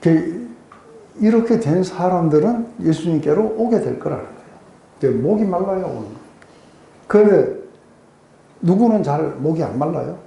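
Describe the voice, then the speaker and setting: A weeknight Bible study in an echoey room, where a soft-edged, very dark-toned man explains a passage and keeps checking that everyone follows. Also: a little high, animated, normal speed, creaky, long pauses. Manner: explanatory, often checks in with listeners. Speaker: pastor teaching a Bible study group. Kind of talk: conversational expository sermon with rhetorical questions. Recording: roomy echo.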